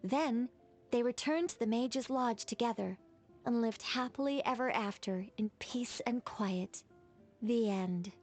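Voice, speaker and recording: Whimsical lady mage in a game, highish voice, theatrical and wordy, bit of music behind. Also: a little slow, darkish tone. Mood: storytelling. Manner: theatrical, eloquent. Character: whimsical female angel mage, theatrical storyteller. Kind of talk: video game storytelling dialogue.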